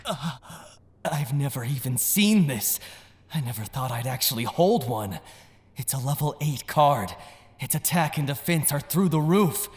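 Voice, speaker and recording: An actor playing an arrogant, scornful rich teenage card duelist with a condescending drawl. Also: male voice, mid-range voice, lively intonation, unhurried, darkish tone, slightly rough, no echo. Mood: astonished, greedy. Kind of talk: audio manga dramatization.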